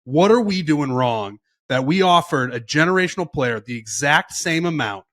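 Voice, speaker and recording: A man's voice: fairly high pitch, expressive, moderate pace, fairly bright tone. A man co-hosting a podcast, talking in a wry, reflective way in casual sports chat. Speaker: podcast co-host.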